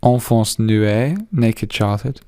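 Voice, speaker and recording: A man's voice: fairly low pitch, animated, mellow, no room sound. Guy hosting a chill podcast, lots of ums, dry jokes, dry close sound. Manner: halting, with many fillers and dry jokes. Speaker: podcast host. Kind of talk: casual chat.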